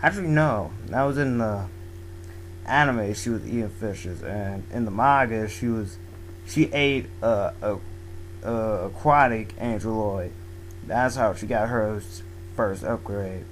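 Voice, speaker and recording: A young man talks at an unhurried pace in a lowish voice that is wearing hoarse. He rambles through a casual review, packing his sentences with fillers and restarts, into a home microphone with a faint hum behind him. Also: rough recording. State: losing his voice. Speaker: manga video blogger. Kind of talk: casual spoken manga review.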